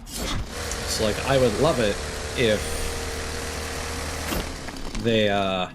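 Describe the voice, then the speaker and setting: A man giving casual live commentary as he plays a game, halting and thinking aloud as he goes. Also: on the low side, background noise. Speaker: game streamer.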